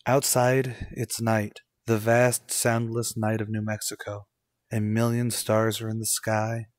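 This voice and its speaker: A man's voice: on the low side, monotone, measured pace, dry recording, natural voice. An actor playing a haunted, unravelling young man in an old-time radio suspense drama, with a brooding, dramatic delivery. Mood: desperate, brooding.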